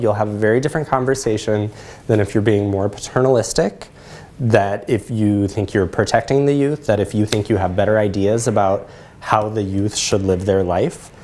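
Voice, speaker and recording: A man's voice: fairly low pitch, darkish tone. A man around forty with an American accent, explaining things as a presenter taking questions in a lecture room.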